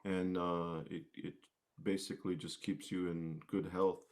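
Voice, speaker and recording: A man chatting casually as an interview guest, with hesitant, halting phrasing. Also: low-pitched, level tone, a little mellow. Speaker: guest, musician and martial arts instructor.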